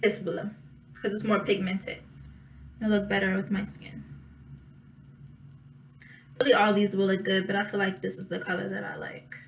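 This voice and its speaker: A young woman with a slightly raspy voice chatting casually through a makeup tutorial, thinking aloud and pausing as she works. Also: medium pitch, level tone, a little hiss or hum, low-quality recording. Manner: thinking aloud, drawn-out pauses while applying makeup. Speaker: makeup vlogger.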